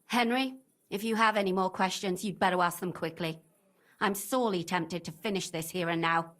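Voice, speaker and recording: A woman's voice: on the low side, moderate pace, fairly bright tone, natural voice. An actress playing a fierce, vengeful woman in a video game drama, menacing, mocking and impatient. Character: fierce, vengeful young woman, threatening and sarcastic.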